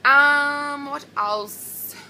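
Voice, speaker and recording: A woman's voice: high-pitched, extremely slow, sharp tone, slight background noise. A woman, chatting casually to camera about her pregnancy in a rambling way with lots of ums and likes. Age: 20s. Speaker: pregnancy vlogger.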